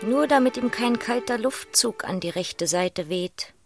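A woman's voice: on the high side, expressive, measured pace. An actress playing a knowing female commentator in a radio drama chorus, speaking in clipped, pointed phrases. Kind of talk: radio drama choral narration. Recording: clear music behind.

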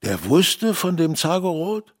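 A courteous, bashful older innkeeper in a children's radio play. His husky voice rises and dips expressively as he wonders aloud, recorded close and dry. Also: a little high, very animated, unhurried, dry recording, full character voice. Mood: curious, wondering. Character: polite, bashful innkeeper, a curious older man. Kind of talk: children's audio drama acting.

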